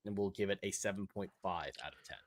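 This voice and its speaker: A man co-hosting a movie review show, speaking in a measured, earnest way with no distinct accent. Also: low voice, no echo, clean studio sound. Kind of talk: casual spoken movie review.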